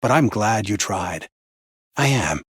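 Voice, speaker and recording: An actor playing an intense, manipulative mentor, theatrical and condescending, with a husky voice, in dramatic villain voicelines. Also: male voice, on the low side, animated, slow, fairly bright tone, no room sound, clean studio sound, full character voice. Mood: disappointed, condescending. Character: intense, demanding male mentor, manipulative and passive-aggressive.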